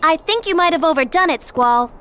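A woman's voice: extremely high pitch, lively intonation, brisk, a bit bright, slight background noise. An actress playing a cocky, playful young female ninja in a game cutscene with a chirpy, teasing manner.

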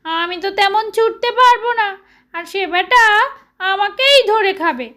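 Woman as a storybook jackal, very high, cowardly, whining, anxious nephew. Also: unhurried, sharp tone, full character voice. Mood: panicked.

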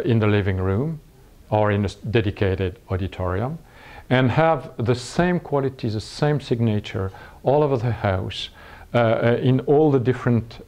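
Mellow, halting Frenchman in his fifties. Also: lowish voice. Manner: halting, searching for words. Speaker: company executive presenting products. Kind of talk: product interview, explaining. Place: small indoor showroom room with curtains.

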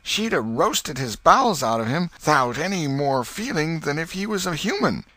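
A man's voice: fairly high pitch, big pitch swings, normal speed, pushed and bright, dry recording, voice pushed up, heavily acted. A man voicing a mischievous young boy in a storybook, with a rustic American accent and playful mock innocence. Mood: defiant. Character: mischievous, cheeky young boy with folksy speech.

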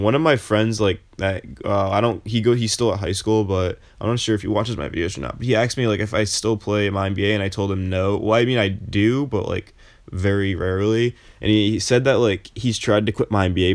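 A man's voice: fairly low pitch, a little mellow. A young man talking casually over gameplay as a YouTuber, rambling with lots of fillers and restarts. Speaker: gaming YouTuber.

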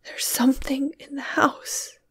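A woman's voice: high-pitched, fairly even intonation, moderate pace, darkish tone. A woman voicing an elderly countrywoman who is frightened, urgent and close to tears. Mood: fearful. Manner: urgent, tearful.

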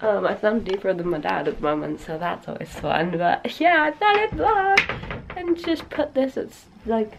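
A young woman with a hoarse voice that has gone deep from a cold, chatting casually to a handheld camera, giggly and rambling with many fillers. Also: expressive, normal speed, noisy background. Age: young adult. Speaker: vlogger. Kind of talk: casual vlog chat. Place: bedroom at home, then staff room at work. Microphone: handheld camera at arm's length.

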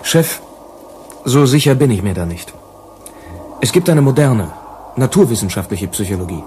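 Deferential company aide for a radio play, male actor: anxious, hesitant, lively intonation. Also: mid-range voice, moderate pace, a little hiss or hum, lightly acted. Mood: worried. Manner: hesitant, cautious, eager to please. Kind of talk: German radio play acting.

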